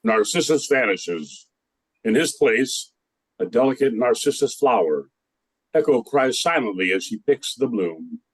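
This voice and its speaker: A man's voice: medium pitch, expressive, measured pace, a little hiss or hum, rough recording. A man narrating and announcing a scripted radio drama.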